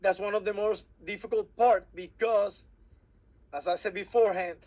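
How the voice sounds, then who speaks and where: A man from Puerto Rico whose first language is Spanish, speaking English with a Puerto Rican Spanish accent. He gives a workshop briefing to cadets, halting and restarting often, repeating words as he goes. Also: sits high, fairly even intonation, a little mellow, muffled recording. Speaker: cadet program instructor.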